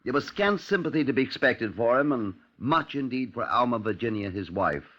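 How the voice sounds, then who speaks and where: A dull old radio recording of a British chief inspector. His mid-pitched voice is measured and wry, telling the story in 1950s radio drama style. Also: animated, sharp tone.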